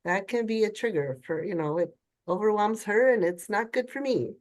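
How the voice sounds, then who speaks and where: A woman's voice: medium pitch, normal speed. An older woman with a cold and a nasal voice, sharing a personal story candidly on a group video call, rambling and trailing off mid-thought. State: has a cold. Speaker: group participant.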